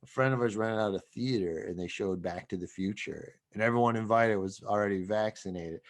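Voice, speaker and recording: A man's voice: on the low side, a little fast. A man co-hosting a podcast in loose, casual chat. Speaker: podcast host.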